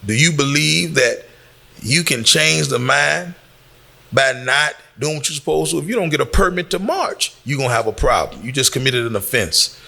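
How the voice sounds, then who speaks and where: A man's voice: a little high, lively intonation, moderate pace, vocal fry, bright, forceful tone. An American man giving an informal, admonishing exhortation as a community speaker, emphatic and fond of rhetorical questions.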